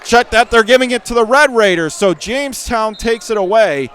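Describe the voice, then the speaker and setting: A man with a Western New York accent calling a high school basketball game live on radio, rattling off plays and rising to shouts on big moments. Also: a faint crowd, slight background noise. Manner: rapid calls that surge to excited shouts on big plays. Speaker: radio play-by-play announcer.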